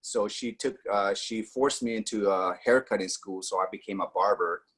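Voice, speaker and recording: A man's voice: on the low side, normal speed, a bit bright, slightly rough. Man telling his story casually. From Austria. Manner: storytelling with acted quotes, fillers. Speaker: network marketing guest.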